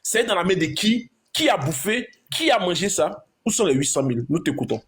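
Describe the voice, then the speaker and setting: High-voiced insistent male show host. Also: very animated, a little fast, fairly bright tone. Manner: theatrical, repeats questions insistently. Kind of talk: live-stream talk show hosting.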